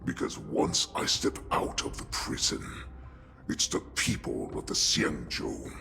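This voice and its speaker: An actor playing an ancient, cruel beast warlord in a video game, growling with imperious, menacing contempt. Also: male voice, extremely low pitch, unhurried, a little hiss or hum, exaggerated voice. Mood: ominous resolve. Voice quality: guttural, growling, gravelly. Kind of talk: dramatic video game villain acting. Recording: loud music behind voice.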